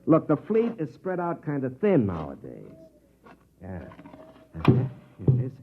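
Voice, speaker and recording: Jaded space captain, old phone-like radio sound. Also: male voice, mid-range voice, huge pitch swings, unhurried, very noisy. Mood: blunt, impatient. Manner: cynical, world-weary, sardonic. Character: jaded, hard-drinking army space captain narrating his story. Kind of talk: 1950s radio drama acting with first-person narration. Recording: band-limited 1950s radio recording with music.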